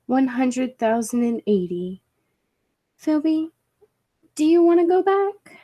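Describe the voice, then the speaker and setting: A woman playing a bold, absorbed professor and inventor in a 1940s-style radio play, with a deliberate, commanding, theatrical delivery. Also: sits high, sing-song, dark tone, full character voice. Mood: intent. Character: Dudley, bold, absorbed scientist and professor inventor of a time machine.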